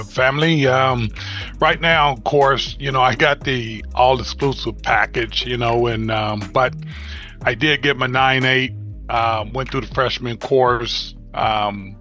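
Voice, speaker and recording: A man giving a halting, casual progress update as a caller on a group call. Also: mid-range voice, bright, forceful tone. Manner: halting, heavy with hesitations. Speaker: caller, course student. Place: online call.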